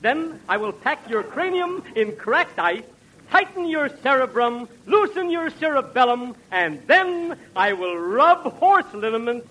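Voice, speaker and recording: An actor playing a pompous crackpot professor in 1940s radio comedy, fussy and mock-learned, on an old broadcast. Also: male voice, extremely high pitch, sing-song, a little slow, sharp tone, breathy edge, full character voice. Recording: noisy vintage radio broadcast.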